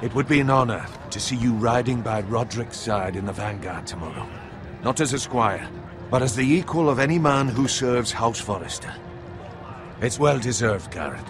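An actor playing a proud middle-aged medieval lord in a fantasy game, speaking in a measured, formal, commanding way. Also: male voice, mid-range voice, expressive, noisy background, natural voice. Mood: calm, fatherly. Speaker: video game character actor. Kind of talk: cinematic fantasy game dialogue. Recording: game music behind the voice.

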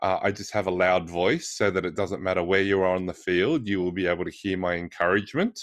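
A man's voice: low voice, fairly quick, dry recording. A middle-aged man hosting an interview over a video call, talking in a hesitant way with many fillers. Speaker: podcast host. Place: indoor room.

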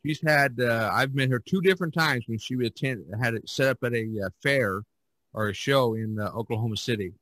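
A man hosting a casual podcast discussion, talking haltingly and often restarting his phrases. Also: mid-range voice, a bit bright, no room sound. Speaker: podcast host.

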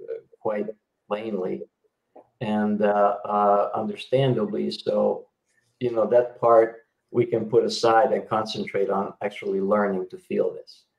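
Gravelly middle-aged man on a video call. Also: fairly low pitch, level tone, a little slow, a little mellow, roomy sound, low-quality recording. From Yugoslavia. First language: Hungarian. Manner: explanatory, many fillers and restarts. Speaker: guest, bioenergy healer. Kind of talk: interview over video call. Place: indoor home room.

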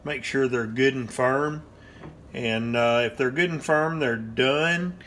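A plain-spoken man in his fifties talks through a home cooking demo, one step at a time, in an unhurried drawl. His voice bounces around a hard-surfaced kitchen, caught on a phone camera held a few feet away. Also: mid-range voice, a bit bright, slight background noise. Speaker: cooking show host. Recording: roomy kitchen echo.

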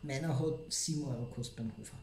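A man's voice: medium pitch, fast talker. An echoey recording of a man with an Austrian accent. He banters as a co-host in a silly, theatrical way, casual and comic throughout.

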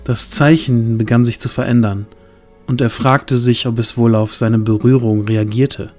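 A man's voice: medium pitch, fairly even intonation, measured pace, darkish tone, soft background music. A man narrating a scripted fantasy audio drama in a dramatic storytelling manner.

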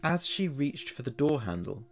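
A man narrating a scripted horror story in a measured, dramatic way. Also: medium pitch, very animated, creaky.

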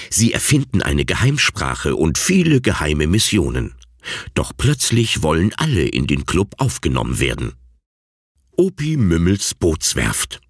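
A man's voice: deep voice, husky, no room sound, studio quality. A man announcing children's audio stories in scripted narration.

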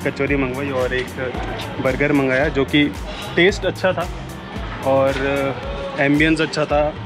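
A man in his thirties, chatting casually as a food vlogger in a relaxed way, filmed on a handheld action camera. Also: mid-range voice, music in the background. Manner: relaxed and chatty, with filler pauses. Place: airplane-themed restaurant, indoors and outdoors. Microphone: action camera held at arm's length.

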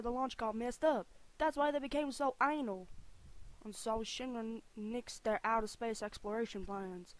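Female performer as gruff male pilot captain, brash, grumbling, bitter, game dub style. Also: a little high, natural voice, rough recording. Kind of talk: anime-style game fandub audition.

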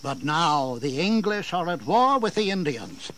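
An actor playing an indignant, authoritative colonial governor with bitter sarcasm and an oratorical, emphatic delivery in a historical audio drama. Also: male voice, a little high, sing-song, a little slow, a bit bright. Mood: bitter, stern. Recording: 1980s audio drama with background noise.

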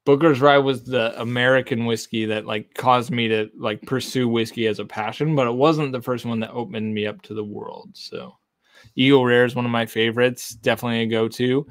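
A man's voice: medium pitch, steady tone, a little fast, no echo, clean studio sound. A man in his thirties from Texas, casually chatting on a livestream from a home bar and reading out viewer comments. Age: 30s. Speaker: whiskey channel host. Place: home bar with lit shelves of bottles. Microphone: desk condenser mic.

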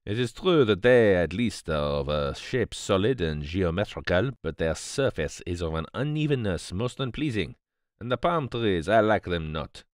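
A theatrical, fastidious Belgian detective for a storybook reading. The accent is French-speaking Belgian, with foreign turns of phrase and a declamatory, easily outraged air. The pitch swings widely, in a dry close recording. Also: male voice, very animated, no echo. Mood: disdainful.

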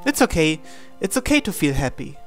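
Let's play host dubbing a visual novel hero; unhurried, mid-pitched, plain. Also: sing-song, faint music behind. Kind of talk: reading visual novel lines aloud in English.

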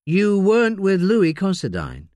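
A man voicing a brisk, firm woman police detective with a clipped manner. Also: sits high, wildly swooping pitch, a little mellow, dry recording, studio quality, voice pushed up, exaggerated voice.

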